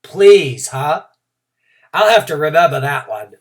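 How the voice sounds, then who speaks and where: A woman voicing a bouncy, bossy kindergarten girl who calls herself captain, talking loudly and boastfully. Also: deep voice, very animated, takes it slowly, far from the mic, full character voice. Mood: amazed. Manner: exuberant, loud, boastful.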